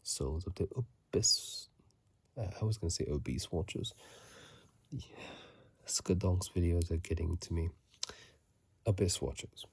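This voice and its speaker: A man whispering casual ASMR game commentary in a hushed, breathy voice, close to a phone microphone. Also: deep voice, a little slow, long pauses, dry recording. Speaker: ASMR video host.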